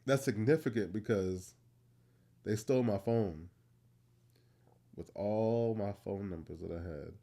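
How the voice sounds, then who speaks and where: A man in his thirties with a Boston accent, co-hosting a podcast and telling stories in a playful, animated way. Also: on the low side, measured pace, vocal fry, long pauses. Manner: playful, animated storytelling, teasing. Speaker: podcast co-host. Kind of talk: casual chat.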